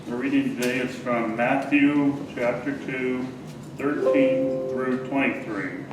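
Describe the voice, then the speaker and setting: A man reading scripture aloud in church, measured and a little halting. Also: mid-range voice, fairly even intonation, over loud music. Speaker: scripture reader. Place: indoor church service. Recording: very echoey church, distant mic, faint noise.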